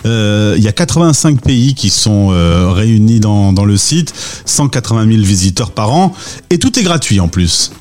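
A man's voice: lowish voice, very animated, normal speed, darkish tone. A man hosting a radio podcast from a studio, chatty and upbeat as he introduces his guest. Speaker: podcast host. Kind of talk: radio interview.

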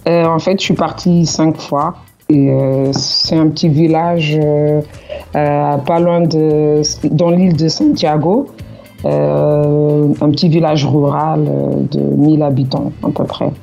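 Low-voiced woman, hesitant radio guest. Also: fairly even intonation, slow, creaky, dark tone, dull audio, soft background music. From Portugal, Cape Verdean parents. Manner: hesitant, many fillers, earnest. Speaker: association president.

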